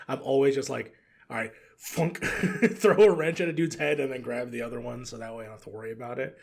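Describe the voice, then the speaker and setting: A man chatting casually as a podcast guest, telling rambling stories in slangy language with a gravelly, husky voice. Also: medium pitch, fairly quick. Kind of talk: casual gaming chat.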